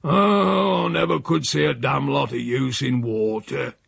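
A man voicing a stubborn old countryman who grumbles loudly in broad rural speech. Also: mid-range voice, unhurried, no room sound, clean studio sound, full character voice. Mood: grumbling. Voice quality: rough rural dialect speech. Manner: loud, grumbling, demanding.

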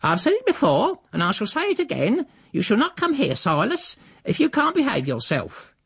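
Storybook grandmother performed by a male reader: high, swooping, husky, stern and sharply reproving. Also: wildly swooping pitch, moderate pace, dry recording, studio quality, full character voice. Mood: indignant, stern. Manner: sharp, emphatic reprimanding. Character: tart, scolding old farmhouse grandmother.

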